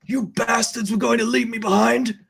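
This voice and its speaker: Man playing a high, raspy, indignant professor. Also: level tone, normal speed, a bit bright, exaggerated voice. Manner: exclamatory, accusing. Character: indignant, panicky, aggrieved male professor.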